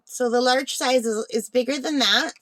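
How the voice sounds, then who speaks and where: Woman, higher-pitched voice, slightly under the weather, chatty craft unboxing, informal, close dry sound. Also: moderate pace, a bit bright, no echo. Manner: chatty, informal, thinking aloud. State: slightly unwell, voice tiring. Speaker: craft channel host. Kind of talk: casual unboxing vlog chat.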